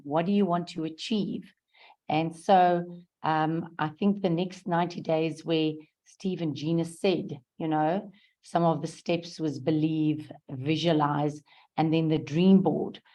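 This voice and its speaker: A hoarse woman gives earnest, halting feedback on a video call, with many pauses and ums. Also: sits low, a little mellow. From South Africa. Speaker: guest speaker sharing event feedback. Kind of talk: unscripted testimonial on a video call.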